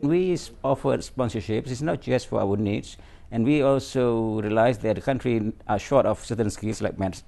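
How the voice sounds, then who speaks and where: A company executive answering interview questions in a halting way, often repeating words. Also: male voice, medium pitch, gravelly, mellow.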